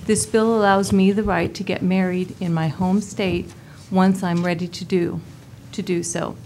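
A woman's voice: fairly low pitch, flat delivery, a little slow, a little mellow, low-quality recording. A woman making a prepared statement during public comment, earnest and respectful. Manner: earnest, respectful, read-aloud. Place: council chamber. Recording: faint room noise in a council chamber.

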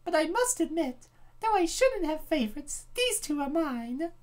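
Woman putting on a high, sweet, doting princess. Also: very animated, moderate pace, darkish tone. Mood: sweet, fond.

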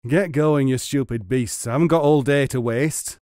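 A man's voice: mid-range voice, fairly even intonation, brisk, darkish tone, no room sound, studio quality, natural voice. A man voicing a gullible, bad-tempered rustic muleteer in a storybook, exclaiming and stammering. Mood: angry. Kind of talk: storybook character reading.

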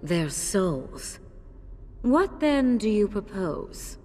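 Game death goddess: cold, aloof, commanding, swooping intonation, cavernous reverb. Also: female voice, mid-range voice, sing-song, drawn-out words, darkish tone, heavily acted. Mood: cold, imperious. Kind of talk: video game cutscene acting. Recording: long cavernous reverb, music behind.

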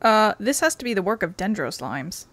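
A woman's voice: mid-range voice, very animated, moderate pace, fairly bright tone, a little hiss or hum. A woman doing casual playthrough commentary on a video game as a content creator. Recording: faint game music behind.

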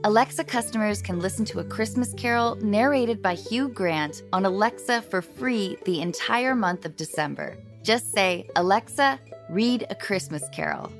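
A woman's voice: mid-range voice, moderate pace, music in the background. A woman announcer reading a scripted advertisement.

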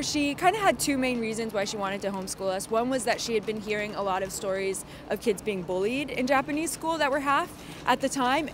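A young woman explains her upbringing in a composed, clear manner, her voice a little high. Street noise is clearly audible around the handheld mic on a busy night-time street. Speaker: interviewee.

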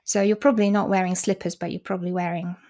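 A woman's voice: lowish voice, little pitch movement, fast, warm tone, slight background noise. Guest interpreter, polish native speaker in her forties, explains things carefully and restarts a lot, video call mic. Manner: explanatory, frequent restarts and fillers, occasional laughs. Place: small room indoors. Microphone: video call, desk mic.

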